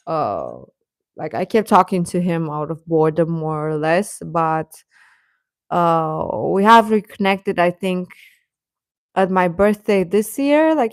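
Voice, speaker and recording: A woman's voice: fairly low pitch, no room sound, studio quality. A woman hosting a livestream, chatting casually and reacting to viewers, often repeating her phrases. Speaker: livestream host.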